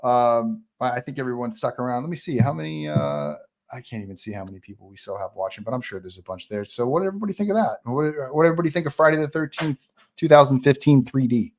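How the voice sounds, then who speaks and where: Male livestream host, mid pitch, casual and conversational, steering a group chat. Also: animated, fast talker. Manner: conversational, prompting others for opinions. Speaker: host of a live online table read and Q&A.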